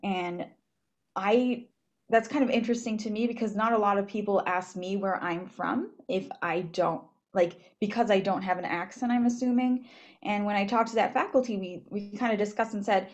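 A woman's voice: mid-range voice, normal speed, muffled recording. A young woman in her twenties from Japan, talking thoughtfully in a small room on a laptop webcam, with many fillers and restarts. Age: 20s. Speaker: panel speaker, graduate student. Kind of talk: reflective personal talk on a video call. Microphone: laptop webcam, video call.

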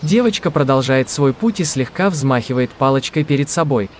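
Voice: mid-range voice, lively intonation, normal speed, darkish tone, a little hiss or hum. A narrator reading scripted audio description for a cartoon. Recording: music under the voice. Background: prominent soundtrack music.

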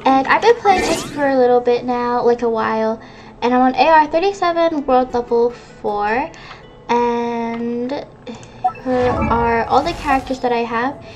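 A woman's voice: fairly high pitch, a little slow, low-quality recording. A woman giving casual gameplay commentary as a gaming YouTuber. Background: game music.

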